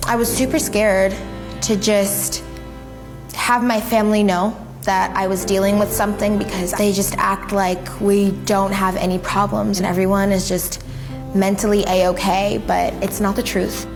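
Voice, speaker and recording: An eighteen-year-old woman speaking softly and hesitantly, searching for her words in a candid interview. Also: medium pitch, level tone, a little slow, over music. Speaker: interviewee, suicide attempt survivor.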